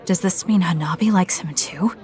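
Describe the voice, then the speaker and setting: Actress as a reserved young soldier heroine, lower register, blunt and level. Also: female voice, a little mellow, a faint crowd, close to an everyday voice. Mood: reflective. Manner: measured, blunt, matter-of-fact. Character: calm, blunt young woman, soldier and protagonist. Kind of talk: anime game cutscene acting.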